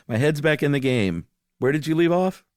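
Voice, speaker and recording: A man's voice: a little high, animated, normal speed, a little mellow, smooth, no room sound, studio quality. A man co-hosting a podcast, chatting in a laid-back, informal way. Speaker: podcast co-host. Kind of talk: casual chat.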